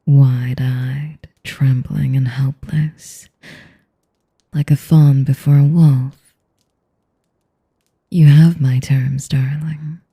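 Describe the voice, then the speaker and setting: Ancient vampire mistress played by a woman: dark, velvety tone with creaky edges, sadistic and seductive, whispery taunts for an audio roleplay. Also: full character voice. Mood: gloating, commanding. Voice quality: low, breathy, often dropping to a whisper. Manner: slow, velvety taunting with condescending endearments. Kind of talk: audio roleplay addressed to the listener.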